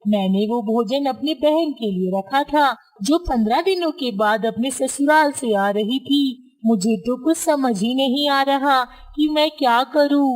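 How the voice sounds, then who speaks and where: Woman voicing a high, fretful cartoon pigeon. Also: unhurried, hardly any pauses, poor audio. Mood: worried, upset. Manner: complaining, fretful.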